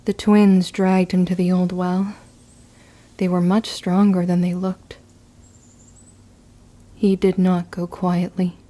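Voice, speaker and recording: A woman playing a grim, resolute young witch in an audio drama, measured and solemn in delivery. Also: lowish voice, flat delivery, mellow. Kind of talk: scripted first-person audio drama narration.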